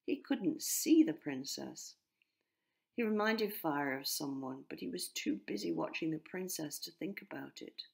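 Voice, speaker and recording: A woman narrating a children's storybook expressively, in measured phrases that sometimes drop to hushed tones. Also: deep voice, expressive, creaky. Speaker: author narrating her own story.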